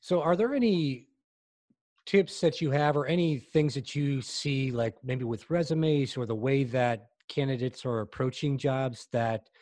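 A male podcast host asks long, looping questions in a friendly way. His voice sits at a mid pitch. The sound is dry and close. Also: unhurried, a little mellow, dry recording. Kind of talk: podcast interview.

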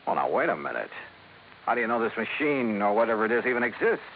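A wry, skeptical private investigator from a 1950s radio serial. His delivery is clipped and swings freely in pitch. The recording is narrow and phone-like, with a little noise. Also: sing-song, quick pace. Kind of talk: 1950s radio drama acting and narration.

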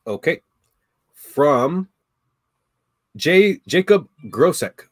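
A man moderating a livestream debate, reading out viewer questions in a brisk, flat way. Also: fairly high pitch, husky, dry recording, studio quality.

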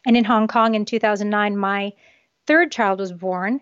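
A woman's voice: medium pitch, fairly even intonation, normal speed, no echo. East Coast woman on a dull, dry remote line. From United States, East Coast. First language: Japanese. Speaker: guest author. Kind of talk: casual interview.

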